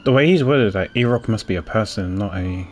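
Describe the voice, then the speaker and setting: A man's voice: on the low side, lively intonation, brisk, soft background music. A man streaming a game, giving casual, informal commentary as he muses aloud.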